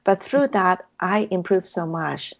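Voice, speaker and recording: A woman's voice: lowish voice, expressive, measured pace, vocal fry, highs cut off. A woman whose first language is Cantonese, chatting as an interview guest in an effusive way with frequent ums. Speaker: guest, hypnotherapy practitioner. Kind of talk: casual interview chat.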